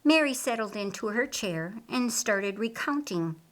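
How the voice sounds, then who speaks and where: A woman reads a story aloud as an audiobook narrator. Her voice sits fairly high and she takes her time, very slow and deliberate with each phrase. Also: lively intonation, fairly bright tone.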